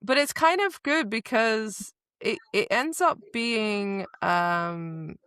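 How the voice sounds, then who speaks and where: A woman's voice: a little high, slow pace, smooth, dry recording. A woman co-hosting a podcast, retelling a book casually and expressively, slipping into dialogue as she goes. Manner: acts out dialogue, informal and expressive. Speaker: podcast co-host.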